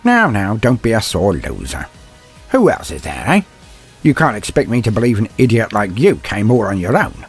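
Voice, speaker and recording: A man voicing a gruff, defiant old inquisitor in an audio story, mocking and theatrical, with a gravelly, husky voice. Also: lowish voice, very animated, normal speed, faint music behind. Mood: triumphant, menacing. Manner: theatrical, taunting, tags questions with "eh".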